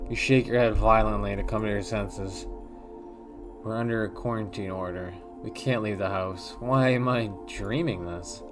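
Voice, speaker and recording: A man reading a text horror game aloud in a hushed, measured way with a wondering, uneasy tone. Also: on the low side. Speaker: let's play host.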